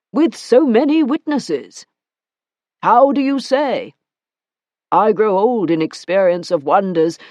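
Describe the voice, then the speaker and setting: Woman doing an old abbot, measured, deliberate, mellow. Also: medium pitch, dry recording, clean studio sound, voice pushed up, lightly acted.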